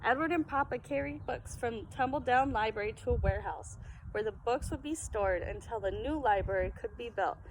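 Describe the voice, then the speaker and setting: Woman, high voice, children's storytime presenter, casual read-aloud style, clearly noisy recording. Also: a little fast.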